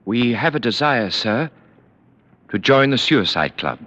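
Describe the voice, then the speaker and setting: An actor playing a polite, dutiful military officer with formal speech in a vintage radio drama. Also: male voice, mid-range voice, sing-song, unhurried, fairly bright tone. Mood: earnest, formal. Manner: courteous, formal. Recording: dull, lo-fi vintage radio recording.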